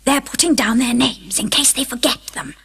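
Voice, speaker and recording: A woman voicing a bossy duchess in a storybook reading, urgent and pitched up. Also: normal speed, gravelly, fairly bright tone, husky, dry recording, full character voice. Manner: urgent, conspiratorial.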